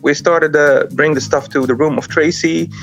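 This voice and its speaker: A man from Lebanon, guest on a radio interview, telling his story in a lively way. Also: a little high, moderate pace, muffled recording, faint music behind. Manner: lively retelling, quoting others. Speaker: guest, volunteer, engineer.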